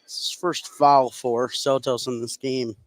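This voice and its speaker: A man's voice: mid-range voice, flat delivery, unhurried. A man giving conversational live analysis as color commentator on a basketball radio broadcast.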